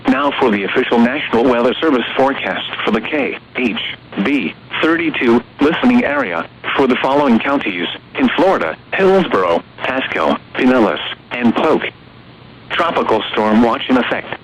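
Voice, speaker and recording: A synthetic male announcer reads marine and county forecasts in a flat, mechanical cadence with odd stresses. The sound is dull and muffled, as if picked up off a radio receiver, with a steady hiss of noise behind it. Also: mid-range voice, normal speed. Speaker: automated weather radio announcer. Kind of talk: text-to-speech weather broadcast. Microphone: radio receiver recording.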